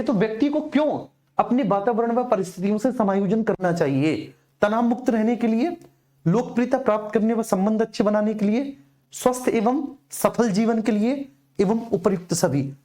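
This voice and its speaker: A man's voice: high-pitched, normal speed, warm tone. A man teaching an exam coaching class informally, explaining repetitively and addressing his students directly.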